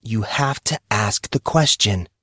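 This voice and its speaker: A man voicing a teenage boy's inner voice, commanding, deliberate and accusing, in an audio drama. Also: lowish voice, lively intonation, bright, forceful tone, breathy edge, no room sound, clean studio sound, heavily acted.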